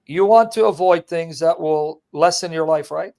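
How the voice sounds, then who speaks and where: A man's voice: a little high, a little mellow. Brash, mocking male debate host. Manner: mocking, brash, fast questioning. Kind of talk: combative debate talk.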